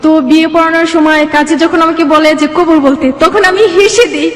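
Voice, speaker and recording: Young woman, Bangladeshi accent, quick personal storytelling, interview guest, very reverberant room. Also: very high voice, fairly even intonation. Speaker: guest telling own life story. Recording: echoey room with long reverb.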